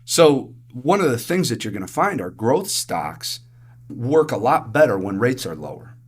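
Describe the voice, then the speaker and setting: A man with a raspy, allergy-hoarse voice, chatting through monthly market results on a podcast with everyday analogies. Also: mid-range voice, sing-song, a little slow. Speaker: investment advisor co-host. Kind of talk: casual podcast market commentary.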